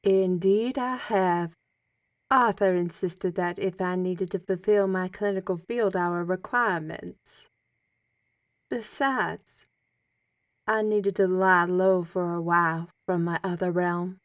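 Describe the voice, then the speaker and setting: Slow-spoken moose lady teacher, acted by a woman. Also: fairly low pitch, steady tone, dry recording. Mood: calm, kindly. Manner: measured, gently instructive. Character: seven-foot half-blood moose woman, genteel teacher. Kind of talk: audio drama acting.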